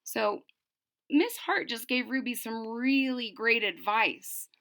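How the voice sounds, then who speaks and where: Female children's story reader; expressive, slow-paced and bright, explaining simply as she goes. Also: on the high side, creaky. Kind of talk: animated read-aloud for young children with explanatory asides.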